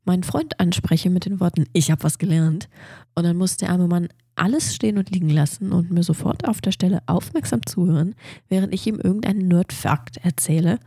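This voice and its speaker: A woman's voice: lowish voice, fairly quick, warm tone, husky, dry recording. A woman hosting a casual solo science podcast, talking eagerly about nerdy facts while she has a cold and a blocked nose. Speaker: podcast host.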